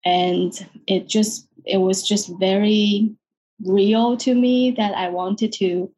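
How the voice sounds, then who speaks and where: A woman from Taiwan, a psychologist talking about her career in a relaxed interview, hesitant with many restarts and quick laughs. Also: mid-range voice, low-quality recording. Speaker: guest psychologist. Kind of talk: casual interview chat.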